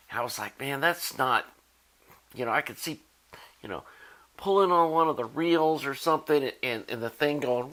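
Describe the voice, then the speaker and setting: A man's voice: medium pitch, fairly bright tone. A man, casually explaining a do-it-yourself fix for his tape deck in a folksy, rambling way. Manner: folksy and rambling, many "you know"s. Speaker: electronics DIY video maker.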